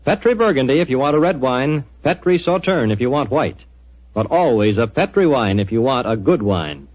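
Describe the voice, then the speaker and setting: A man's voice: medium pitch, big pitch swings, normal speed. A man announcing in 1940s radio style, with a polished, persuasive sponsor's delivery. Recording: muffled 1940s radio recording.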